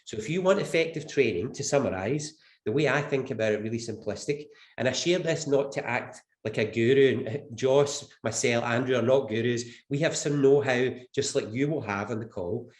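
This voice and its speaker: A man's voice: medium pitch, normal speed, hardly any pauses, echoey room. A Scottish man with the flu speaking as a webinar panelist, earnest and emphatic, repeating his key phrases. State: has the flu. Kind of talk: webinar presentation.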